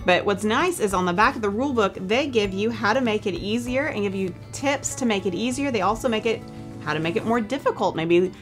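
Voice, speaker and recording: A woman's voice: mid-range voice, expressive, fairly quick, soft background music. Chatty woman host reviewing games. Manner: upbeat, chatty, asks follow-up questions. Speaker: board game channel host. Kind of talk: casual game review chat. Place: indoor studio with game shelves.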